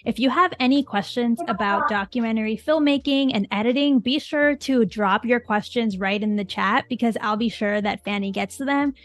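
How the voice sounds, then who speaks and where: A woman hosts a live online stream, greeting viewers and calling out names from the chat with open enthusiasm. Her voice sits a little high, and it is recorded close and dry with no room sound. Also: moderate pace, few pauses, no echo. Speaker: livestream host. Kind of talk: upbeat livestream hosting.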